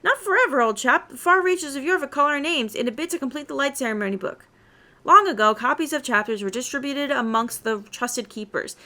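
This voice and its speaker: Eccentric male druid acted by a woman: jaunty, theatrical, high for the part. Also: lively intonation, brisk, sharp tone, heavily acted. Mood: grand.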